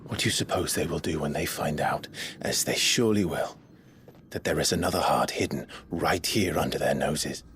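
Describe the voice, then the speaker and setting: Deep, stern rebel leader. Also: male voice, normal speed, a bit bright, a little hiss or hum. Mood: grim, resolute. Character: grave, resolute male rebel leader. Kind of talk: dramatic game dialogue. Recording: music behind.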